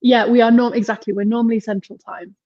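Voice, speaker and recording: A woman's voice: fairly high pitch, fairly even intonation, fast talker, dark tone, no room sound, muffled recording. A woman with an English accent, a marketing mentor and podcast guest who chats in a rambling way and laughs often. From England. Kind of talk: casual interview chat.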